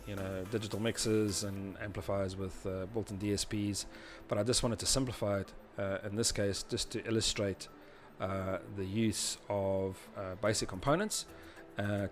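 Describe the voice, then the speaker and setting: A man with a fairly low voice talks through a technical tutorial as its presenter. His delivery is informal and halting, and he often rephrases as he explains. Music can be heard behind him. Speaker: presenter of an audio engineering tutorial. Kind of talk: informal explanatory tutorial narration over diagrams.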